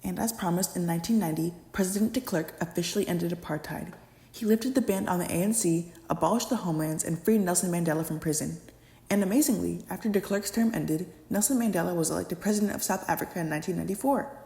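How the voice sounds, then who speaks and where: A woman's voice: on the low side, fast talker, vocal fry, dark tone. A young adult woman reading a scripted educational narration as the narrator, recorded in an echoey room.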